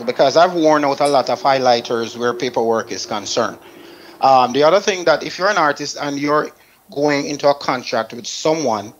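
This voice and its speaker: A man's voice: mid-range voice, lo-fi sound. A man, speaking as a talk show panelist and giving emphatic, informal step-by-step advice. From Canada resident.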